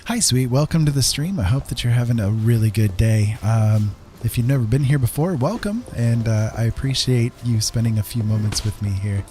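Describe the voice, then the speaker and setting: A man's voice: medium pitch, normal speed, hardly any pauses, dark tone, soft background music. A middle-aged man casually commentating as he plays a game live, friendly and rambling, often talking to himself. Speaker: game streamer.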